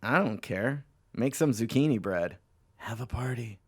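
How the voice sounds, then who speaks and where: A man's voice: mid-range voice, animated, unhurried, husky, dry recording, studio quality. An actor playing a needy, hard-drinking philanderer of a phone salesman in an audio drama, rambling and swearing as he goes. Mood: pleading, evasive.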